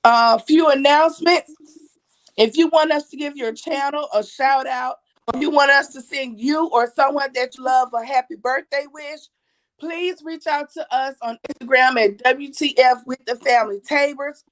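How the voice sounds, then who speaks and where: Woman host, raspy and high-pitched, chatty and repetitive with her audience. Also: fairly even intonation, moderate pace, a bit bright, poor audio. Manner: chatty, repeats herself, reads viewer comments aloud. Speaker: livestream host. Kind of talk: casual livestream talk.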